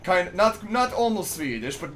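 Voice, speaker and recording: Bright-voiced rambling male streamer. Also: high voice, very animated, normal speed, a little hiss or hum. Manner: rambling, swearing, laughing, reads chat aloud; playfully tries out a put-on accent. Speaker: game streamer. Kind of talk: casual live-stream chat.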